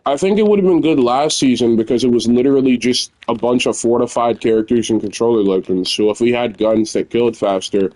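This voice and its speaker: A young man with a naturally loud voice, chatting candidly and rambling while he plays a video game at home. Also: medium pitch, brisk, hardly any pauses, rough recording. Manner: rambling, candid, filler-heavy. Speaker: gamer streamer. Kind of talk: casual gameplay commentary.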